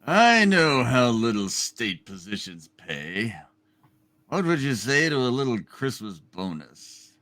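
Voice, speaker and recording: Man as a scheming rich villain, slow menacing delivery, sharp bright tone, haughty, gloating, radio play. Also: medium pitch, sing-song. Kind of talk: hammed-up radio play reading.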